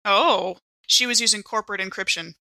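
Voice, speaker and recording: A woman's voice: medium pitch, a little fast, pushed and bright. A woman role-playing conversationally as a player in a tabletop game, with composed, articulate phrasing.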